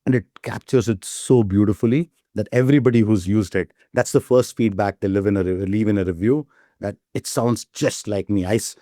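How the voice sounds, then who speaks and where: Man, lowish mellow voice, dry sound, keen startup guest who keeps checking in with "right?". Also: brisk, no room sound. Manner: enthusiastic, repeats "right?" as a tag. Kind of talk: casual interview talk.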